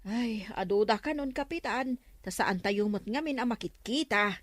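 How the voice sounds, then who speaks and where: Casting: actress as nagging, earnest barangay official, Ilocano accent, comedy drama, dry recording. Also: female voice, a little high, expressive, moderate pace, dry recording, close to an everyday voice. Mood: worried. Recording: music behind the dialogue.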